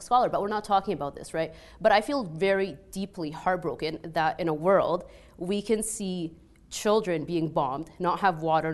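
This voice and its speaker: A woman with a slightly low voice and a Canadian-influenced Egyptian accent, a native Arabic speaker. She gives personal testimony as a panel speaker, in an earnest, chatty way full of "like" and tag questions. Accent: Canadian-influenced with an Egyptian background. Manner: conversational and earnest, frequent 'like' and tag questions. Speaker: panel speaker, peace scholar.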